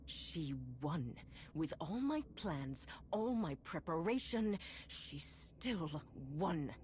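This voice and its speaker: A calculating female commander in a video game. Her voice is low, formal and deliberate, with proud poise. Faint ambience lies behind it. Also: very animated, a little mellow, a little hiss or hum. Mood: bitter. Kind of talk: video game cutscene acting.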